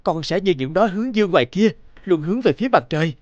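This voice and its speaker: A man voicing an excitable, loving young father in a cartoon, with an earnest, warm delivery. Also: sits high, fast, dry recording. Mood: tender, excited. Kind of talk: cartoon voice acting. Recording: dull, dry, music underneath.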